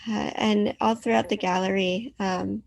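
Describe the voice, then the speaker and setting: Creaky-voiced woman curator, flat delivery. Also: medium pitch, a little slow, dry recording. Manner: polite, filler-heavy presenting. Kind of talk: hosting a virtual artist talk. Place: her apartment.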